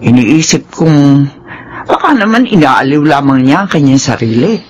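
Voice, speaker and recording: Animated, concerned older mother. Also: low-pitched, moderate pace, breathy edge, lo-fi sound, full character voice. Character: concerned older mother of a grown married son. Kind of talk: Filipino radio drama acting.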